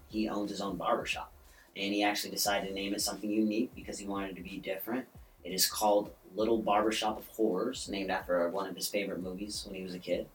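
A man co-hosts a podcast and tells a story loosely, full of ums and restarts. His voice sits on the low side. He sits some way from the mic in a roomy space, with soft music behind. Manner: rambling, with many ums and "basically". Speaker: podcast co-host. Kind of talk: casual storytelling chat. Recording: roomy echo, distant from the mic.

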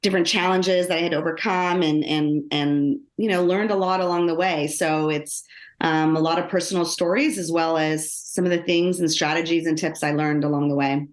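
Middle-aged American woman, low voice, roomy echo. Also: steady tone, moderate pace. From Los Angeles, United States. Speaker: podcast guest, lawyer and author. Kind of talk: conversational personal storytelling in an interview. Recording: roomy, echoing sound.

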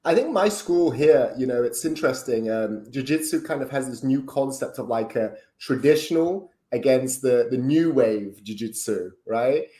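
A man's voice: mid-range voice, animated, normal speed, hardly any pauses, warm tone. A Brazilian-born man, a podcast guest chatting casually with lots of ums and tag questions. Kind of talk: casual interview chat. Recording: roomy echo.

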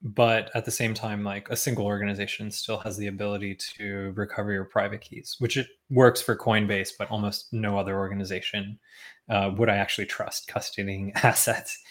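Low-voiced man, loose and chatty. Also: a little fast. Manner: relaxed, lots of ums and likes, chuckles often. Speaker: podcast guest, tech founder. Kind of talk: casual interview chat.

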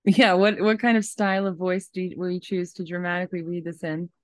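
A woman's voice: fairly low pitch, level tone, fairly quick, no room sound, clean studio sound. A woman co-hosting a podcast in casual chat. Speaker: podcast co-host.

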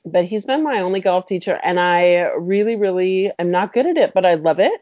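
A middle-aged woman talking as a guest in a chatty, upbeat way. Her voice sits a bit low and mellow, and the dry, slightly dull audio has little room sound. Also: lively intonation, fairly quick, dry recording. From Mexico City. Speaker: clinical psychologist guest. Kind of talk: casual interview chat.

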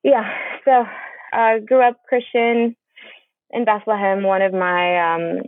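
A woman's voice: mid-range voice, little pitch movement, no room sound. Hesitant woman from Palestine, interview guest, dry close sound. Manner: hesitant, halting, with many fillers and restarts. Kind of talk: conversational interview. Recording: tinny phone-like line.

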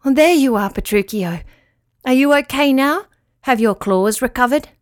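A woman voicing a kind, gentle male four-legged animal who speaks earnestly. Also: high-pitched, lively intonation, normal speed, no room sound, studio quality. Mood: reassuring.

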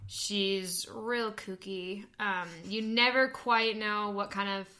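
A woman's voice: mid-range voice, steady tone, takes it slowly, pushed and bright. A young woman co-hosting a podcast in casual chat, talking with dry, self-deprecating humor and many fillers. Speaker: podcast co-host.

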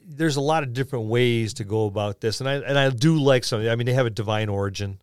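A man's voice: mid-range voice, quick pace, clean tone, no echo, clean studio sound. A man co-hosting a podcast in a casual, conversational discussion of tabletop gaming. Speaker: podcast co-host.

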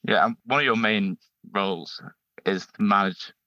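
A young man, a student, asking interview questions in a brisk, direct way. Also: on the low side, pushed and bright, no echo. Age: young adult. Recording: dry, lo-fi sound.

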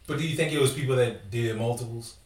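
A man's voice: medium pitch, fast, a little mellow, roomy sound, far from the mic. A man co-hosting a casual podcast, laid-back and teasing, laughing often. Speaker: podcast co-host.

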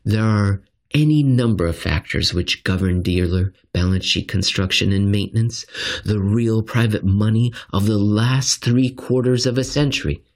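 A man narrating a written finance commentary in an expressive, scripted style with dramatic, deliberate phrasing. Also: lowish voice.

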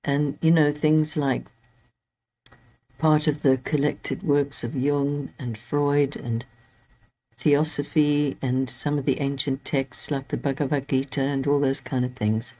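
A woman's voice: a bass voice, flat delivery, slow pace, mellow. A woman from Zimbabwe, a guest astrologer, telling stories from her past in a reflective, anecdotal way over a remote call. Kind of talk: conversational interview storytelling. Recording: slightly dull lo-fi audio.

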